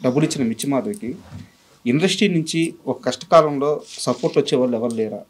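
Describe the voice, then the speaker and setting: A man's voice: mid-range voice, quick pace, slightly rough. A man interviewing in a conversational, deferential way, carefully explaining himself before he puts each question.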